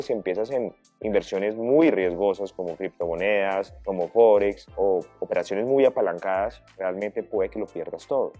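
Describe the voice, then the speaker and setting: A man's voice: lowish voice, mellow, slightly rough. A man, a financial advisor in his thirties, coaching the viewer in a direct, earnest way. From Colombia. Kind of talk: direct-to-camera advice talk.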